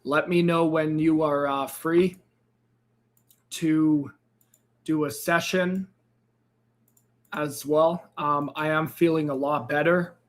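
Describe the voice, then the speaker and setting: A man hosting a casual live trading stream, upbeat and greeting viewers by name, his voice a bit raspy and hoarse after losing it. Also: on the high side, fairly even intonation, takes it slowly, pauses often. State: slightly hoarse, recovering from a lost voice. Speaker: crypto trading livestream host. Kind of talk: casual livestream chat.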